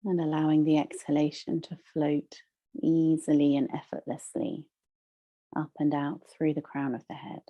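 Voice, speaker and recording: A woman leading a guided meditation as a teacher, soothing and measured, with long pauses between phrases. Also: sits low, level tone, dull audio.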